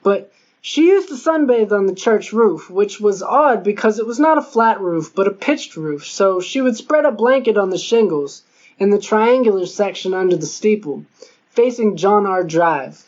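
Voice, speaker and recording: A woman's voice: medium pitch, lively intonation, moderate pace, darkish tone. Woman narrating a novel, dull lo-fi sound. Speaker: audiobook narrator. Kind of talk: scripted first-person novel narration. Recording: dull lo-fi audio.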